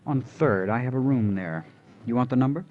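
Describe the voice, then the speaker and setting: An actor playing a gentle, devoted young drifter in old radio drama, talking simply, earnestly and hesitantly. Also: male voice, mid-range voice, moderate pace, narrow, tinny audio, lightly acted. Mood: earnest, halting. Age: young adult. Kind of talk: 1940s-50s radio drama acting. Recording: noisy vintage radio recording.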